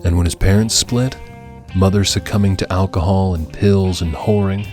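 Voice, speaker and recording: A man's voice: deep voice, steady tone, normal speed, darkish tone, soft background music. A man reading scripted dramatic fiction as an audiobook narrator.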